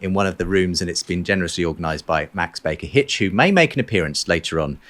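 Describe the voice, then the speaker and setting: Man, British accent, low pitch, show host, conversational presenting, indoor room. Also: expressive, fast talker, a bit bright. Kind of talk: conversational hosting of a discussion show. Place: room in a college with an open window.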